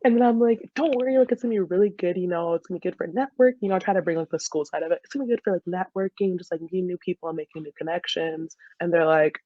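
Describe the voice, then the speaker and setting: A woman's voice: fairly low pitch, very fast, few pauses, no room sound, phone-quality sound. A woman in her twenties with an American accent, chatting casually as an interview guest in a giggly, storytelling way. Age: 20s. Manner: giggly, animated storytelling with quoted dialogue. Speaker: podcast guest, soccer player.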